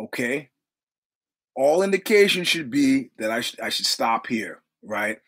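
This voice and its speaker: A husky-voiced man in his sixties with a New York accent, spinning rambling stories in casual conversation. He swears freely and acts out the other people's dialogue as he goes. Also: mid-range voice, very animated, measured pace, fairly bright tone. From New York City. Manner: profane, rambling anecdotes with quoted dialogue. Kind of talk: casual storytelling.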